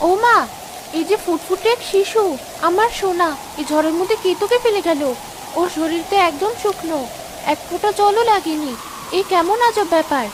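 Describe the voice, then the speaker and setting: A woman voicing a fretful village wife and anxious mother in an expressive cartoon drama. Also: very high-pitched, normal speed, noisy background. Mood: astonished, tender.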